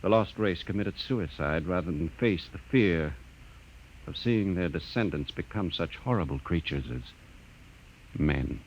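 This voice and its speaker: A man's voice: low voice, expressive. An actor playing a grave, thoughtful spaceman who speaks solemnly in a 1950s radio drama. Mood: grave explanation. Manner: solemn, deliberate. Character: grave, thoughtful spaceship crewman explaining a dark discovery. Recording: dull, hissy 1950s radio recording.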